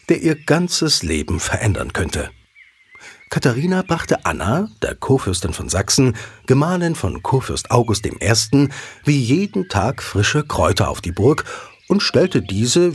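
A man narrating a scripted audio drama as a dramatic, expressive storyteller. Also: medium pitch, breathy edge. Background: background music.

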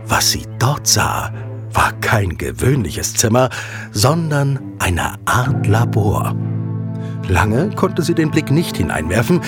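A man narrating a scripted audio drama as a dramatic, expressive storyteller. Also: medium pitch, takes it slowly. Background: background music.

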